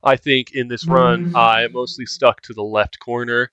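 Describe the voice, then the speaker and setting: Casting: male walkthrough commentator, casual explanatory delivery, average pitch, lo-fi sound. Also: flat delivery, a little slow, pushed and bright, clean tone, dry recording. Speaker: gamer giving a walkthrough. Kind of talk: casual game guide commentary.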